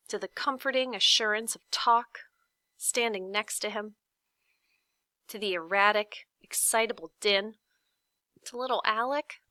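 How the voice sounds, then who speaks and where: Slow, expressive woman reading a storybook. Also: on the high side, no echo. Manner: deliberate, dramatic phrasing with pauses. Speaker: teacher reading aloud to a class. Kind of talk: expressive children's storybook narration.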